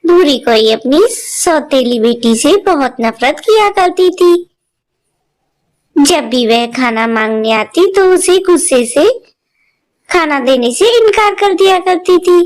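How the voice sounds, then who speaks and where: Storybook narrator, woman, very high and plain. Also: lively intonation, slow pace.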